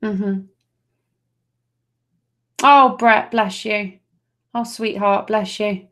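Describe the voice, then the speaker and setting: A middle-aged woman, talking in a gentle, soothing, affectionate way. Her voice sits at an ordinary pitch. She chats informally and fills her speech with little hesitations and endearments. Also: steady tone, very slow. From Nottingham, England. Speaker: angel card reader. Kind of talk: live card reading, chatty.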